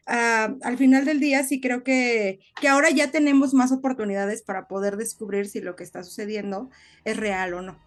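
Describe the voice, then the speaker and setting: A woman co-hosting a casual podcast, talking reflectively and often checking in with short tag questions. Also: sits high. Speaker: podcast co-host.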